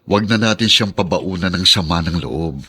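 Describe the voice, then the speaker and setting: An actor playing a responsible eldest son and peacemaking brother in a radio drama, earnest and persuasive. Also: male voice, deep voice, moderate pace, pushed and bright, husky, heavily acted. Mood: earnest, urging.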